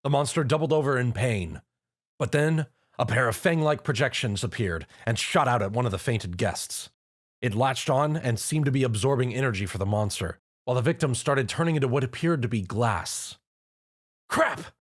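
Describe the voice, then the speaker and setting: A man's voice: mid-range voice, animated, moderate pace. A man reading a fan-written action story aloud as narrator. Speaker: reader.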